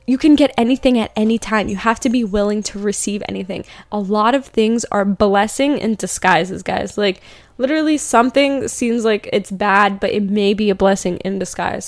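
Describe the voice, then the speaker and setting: A young woman chats her way through a card reading, full of fillers and loose asides, dropping into a slow, deliberate cadence for affirmations. Her voice sits at an ordinary middle pitch. The sound is close and dry, with no room around it. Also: no echo. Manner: rambling, filler-heavy, laughs often, slows down for affirmations. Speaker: podcast host doing card readings. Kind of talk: casual spiritual chat with tarot-style readings.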